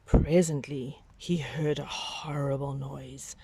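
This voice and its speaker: A woman reading a picture book aloud to young children, with dramatic, drawn-out emphasis and hushed whispery moments. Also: rumbling low, fairly even intonation, slightly rough.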